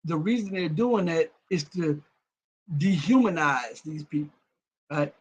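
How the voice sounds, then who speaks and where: A man hosting a political commentary livestream, whose voice cracks and breaks up. He reads viewer comments and builds to loud, heated rhetorical questions. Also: fairly high pitch, animated, a little slow, breathy edge. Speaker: livestream host.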